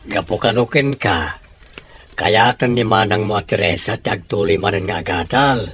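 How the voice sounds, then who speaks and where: A man's voice: lowish voice, animated, normal speed, a bit bright, husky, faint music behind. An actor playing a caring middle-aged father in a radio drama, reassuring his daughter, with faint noise behind.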